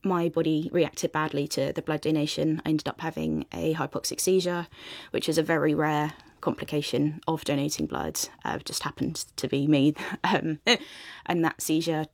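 A woman's voice: low-pitched, normal speed, a little mellow, no room sound, clean studio sound. A woman with a British accent tells her own story conversationally as an interview guest. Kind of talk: conversational personal account.

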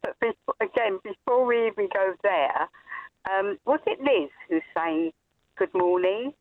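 A woman's voice: mid-range voice, animated, measured pace, no room sound. A woman calling in to a radio show, chatting in a hesitant, amused way. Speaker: caller. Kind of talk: casual phone chat. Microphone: phone. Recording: tinny dry phone line.

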